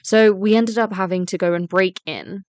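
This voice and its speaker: A wary, defiant student girl, acted by a woman, tells and acts out her story in a web drama. Her voice is smooth and clear at a medium pitch, recorded dry and close. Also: steady tone, moderate pace, darkish tone, no echo, lightly acted. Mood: recounting, tense. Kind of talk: first-person story narration and voice-acted dialogue.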